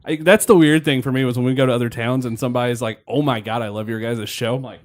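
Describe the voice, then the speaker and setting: A man in his thirties co-hosting a podcast in casual chat, excitable, laughing and swearing as he hops between thoughts. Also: medium pitch, quick pace. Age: 30s. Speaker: podcast co-host.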